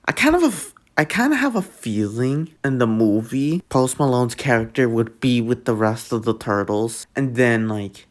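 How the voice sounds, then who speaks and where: A young man giving casual commentary on a movie trailer, rambling with many "like" fillers and restarts. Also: mid-range voice. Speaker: trailer reaction vlogger.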